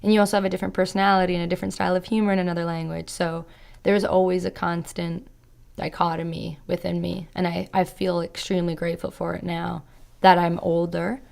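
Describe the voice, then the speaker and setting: A young woman talks in a low, gravelly voice full of fry, her pitch staying flat across long stretches. She speaks reflectively, with plenty of "like" and "you know". Her is Serbo-Croatian. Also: quick pace. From Canada. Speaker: podcast guest. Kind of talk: casual interview chat.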